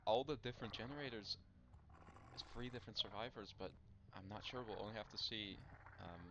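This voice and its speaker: A man commentating a live esports match, analytical but breaking into excited outbursts. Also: on the low side, fairly quick, highs cut off. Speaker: esports caster. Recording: loud noise on a stream mic.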